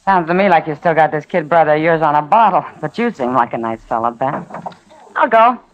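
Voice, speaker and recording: A woman's voice: sits low, lively intonation, fairly quick. A woman playing a worldly, kind saloon woman in an old radio western, talking wryly and casually. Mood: wry, friendly. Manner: wry, frank, casual. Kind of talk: 1950s radio western acting. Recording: muffled old radio recording.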